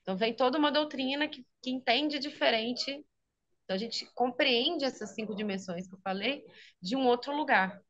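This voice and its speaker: A woman's voice: sits high, normal speed, a bit bright, lo-fi sound. Brazilian woman explaining over a video call. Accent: Brazilian Portuguese, Minas Gerais. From Minas Gerais, Brazil. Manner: explanatory, frequent "né?", laughs easily. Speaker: guest, speech therapist and health teacher.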